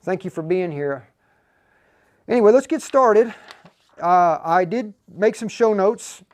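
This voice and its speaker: An American man hosting a livestream in a casual, folksy, informal way, talking in a halting flow. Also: high voice, expressive, darkish tone. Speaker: livestream host for a radio equipment seller.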